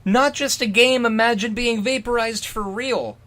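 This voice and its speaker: A man voicing a mischievous, excitable schoolboy wizard from fan fiction with exaggerated delivery. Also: very high voice, normal speed, voice pushed up. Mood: gleeful.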